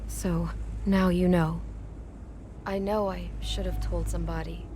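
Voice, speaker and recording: An actress playing an earnest, slightly embarrassed motel desk clerk in a video game, speaking in a hesitant, confiding way. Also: female voice, fairly low pitch, flat delivery, unhurried, a little hiss or hum, lightly acted. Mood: embarrassed, pleading. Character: embarrassed, earnest married woman working a motel front desk. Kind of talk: naturalistic video game acting.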